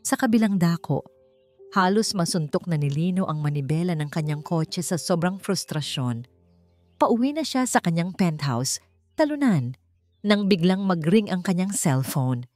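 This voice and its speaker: A woman narrates scripted romantic drama in a fairly low voice. Faint music plays underneath her storytelling. The delivery is that of a dramatic story narrator. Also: animated, moderate pace, a little mellow. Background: background music.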